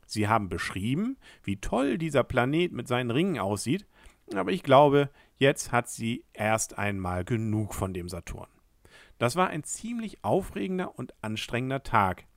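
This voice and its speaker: Guy reading a kids story like a letter, talks right to you, animated, dry close mic. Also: medium pitch, moderate pace, vocal fry, no room sound. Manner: friendly, addressing the listener directly.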